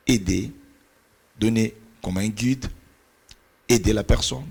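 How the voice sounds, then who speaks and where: A middle-aged man whose first language is Portuguese talks about mentoring in church with a preacher's earnest cadence. His voice sits low and gravelly with a husky edge, and he sometimes pauses to hunt for the right word. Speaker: pastor and mentor.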